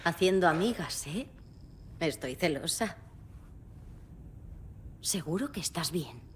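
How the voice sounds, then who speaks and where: Determined female investigator, deep register, direct and resolute, video game drama, slight background noise. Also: lively intonation, takes it slowly. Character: determined female investigator, a protective, grieving mother. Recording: faint background rumble and noise.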